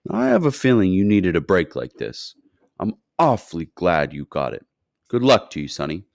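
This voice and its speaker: A man voicing an excitable, kindly fat male shopkeeper who shouts with delight, in a storybook reading. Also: deep voice, normal speed, gravelly, no echo, studio quality, exaggerated voice. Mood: warm.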